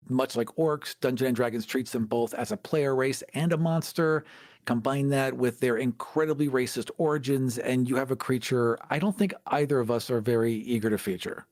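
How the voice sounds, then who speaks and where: Dry-sounding male podcast co-host, medium pitch. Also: normal speed, darkish tone, no echo. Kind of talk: casual tabletop gaming discussion.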